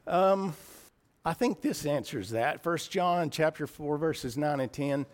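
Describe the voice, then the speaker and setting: A man with a raspy voice preaching a sermon in church, earnest and a little emotional, often clearing his throat. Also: a little high, takes it slowly.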